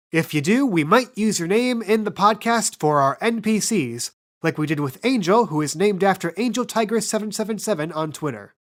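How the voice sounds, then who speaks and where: A woman hosting a tabletop podcast as game master, making casual, chatty announcements. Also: fairly low pitch.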